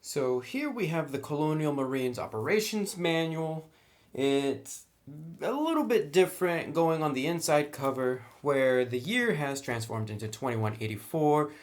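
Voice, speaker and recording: A man's voice: on the high side, animated, measured pace. A man giving a casual, conversational review narration as a reviewer of tabletop game books.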